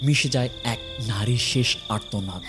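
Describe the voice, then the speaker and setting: A man narrating a horror story from a script, dramatically, in short phrases with pauses. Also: mid-range voice, loud music behind the voice.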